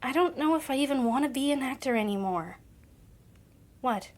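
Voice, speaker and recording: A wary young ex-actress voiced by a woman in an audio drama. Her voice sits high, and she speaks with dry, skeptical sarcasm, guarded against everything she is offered. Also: animated, normal speed. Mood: weary, doubtful. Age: young adult.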